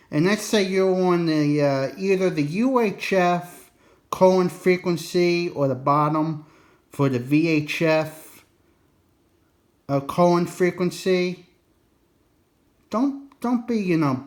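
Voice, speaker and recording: A man giving a casual, unscripted explainer in a folksy, colloquial way, rambling a bit and repeating his warnings for emphasis. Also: on the high side. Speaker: presenter of an instructional radio video.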